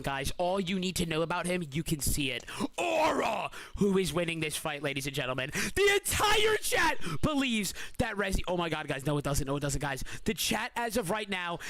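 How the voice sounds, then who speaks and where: Male streamer-commentator, high and bright-edged, voice shot and hoarse, hype slangy play-by-play. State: hoarse, voice feels weak. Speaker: streamer and tournament host. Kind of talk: live tournament commentary on a game stream.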